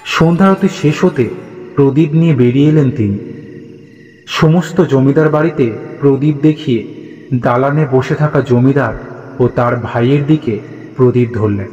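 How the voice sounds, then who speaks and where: A man narrating a scripted horror story in a dramatic, deliberate way. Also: mid-range voice, darkish tone, muffled recording. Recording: echoey, reverberant, music behind.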